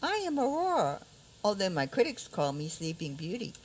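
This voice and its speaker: A woman's voice: medium pitch, big pitch swings, normal speed, slight background noise, lightly acted. A woman playing a weary, sleepless fairy-tale princess, plaintive and theatrical, in a radio theater comedy. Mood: weary, complaining.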